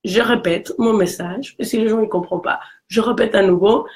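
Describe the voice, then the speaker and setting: A woman from Mexico with a Mexican Spanish accent and a low voice, talking earnestly in a casual conversation. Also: moderate pace, a little mellow. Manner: earnest, encouraging, with frequent restarts.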